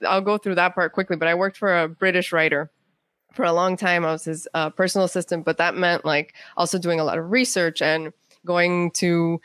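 A middle-aged American woman telling anecdotes as a guest in a casual interview, chatty and quick to act out dialogue. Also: fairly low pitch, fairly even intonation, a bit bright, dry recording.

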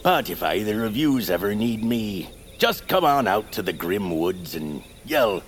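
Folksy, wildly swooping woodland hermit. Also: male voice, lowish voice, very animated, unhurried, a little hiss or hum. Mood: breezy. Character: feral woodland hermit creature, male, folksy and carefree. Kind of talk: audio fiction character acting.

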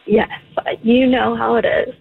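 A woman's voice: little pitch movement, a little slow, gravelly. Small-voiced woman on a phone line. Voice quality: small, high voice. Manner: giggly, soft-spoken. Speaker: radio caller. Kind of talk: casual phone chat.

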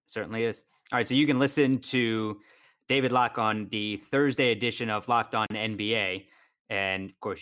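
Lower-voiced American man hosting sports podcast. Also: fairly bright tone. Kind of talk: conversational podcast interview.

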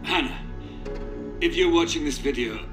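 A man's voice: a little high, normal speed, over music. An actor playing a grave man leaving an urgent recorded video message in an audio drama. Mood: grave urgency.

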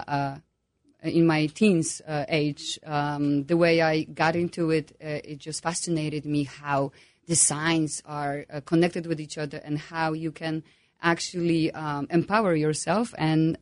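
A woman's voice: deep voice, steady tone, measured pace, no room sound, clean studio sound. A woman from Poland with a Polish accent, speaking as an astrologer guest with many hesitations and fillers. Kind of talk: interview guest.

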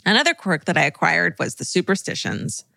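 A woman's voice: on the low side, big pitch swings, a little fast, gravelly, bright, forceful tone, dry recording. A woman co-hosting a podcast, reading listener letters and chatting expressively, laughing easily and swearing. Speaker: podcast co-host.

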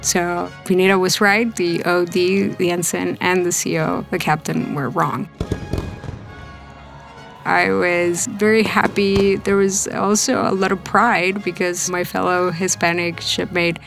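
Low-pitched, slightly creaky woman storyteller. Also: fairly even intonation, a little slow, fairly bright tone, faint music behind. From Lima, Peru. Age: late 30s. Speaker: storytelling guest, navy officer and psychiatrist. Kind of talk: personal storytelling.

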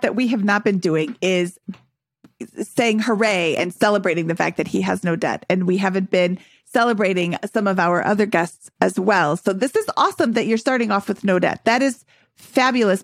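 A woman's voice: mid-range voice, no echo, studio quality. A woman co-hosting a financial interview, upbeat and enthusiastic. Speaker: co-host.

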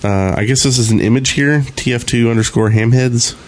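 A man's voice: medium pitch, a little fast. A man co-hosting a gaming podcast in a casual, conversational style. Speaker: podcast co-host.

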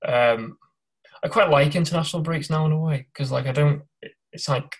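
A man's voice: a little high, normal speed. A man co-hosting a podcast, talking in an easygoing, rambling way. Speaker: podcast co-host. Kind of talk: casual podcast chat.

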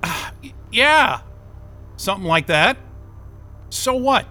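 A flustered, cynical out-of-work techie in an audio drama. His voice is high and piercingly sharp, swinging widely as he blurts and swears in exasperation. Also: big pitch swings, slow, soft background music, exaggerated voice. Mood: defensive, irritated. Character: flustered, cynical jobless tech worker under interrogation. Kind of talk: naturalistic audio drama acting.

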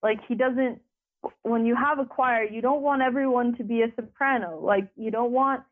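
A young woman speaking as an interview guest, rambling with many filler words and restarts. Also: normal speed. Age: young adult. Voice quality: deeper voice. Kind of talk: conversational interview. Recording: phone line.

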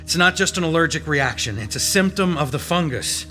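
Restless, searching hero. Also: male voice, on the high side, lively intonation, a little fast, pushed and bright, faint music behind. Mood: tense. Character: wary male searcher named Brown. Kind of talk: radio drama acting.

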